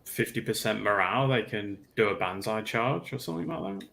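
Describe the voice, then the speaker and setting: A man talks in a fairly low voice with plenty of fry. He sounds like a casual panelist, halting as he puts his thoughts together. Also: expressive, pushed and bright. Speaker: livestream panelist. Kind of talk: casual chat.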